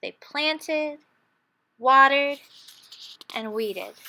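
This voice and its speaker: A woman storyteller reading a children's picture book aloud in an expressive, dramatic way. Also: high-pitched, drawn-out words.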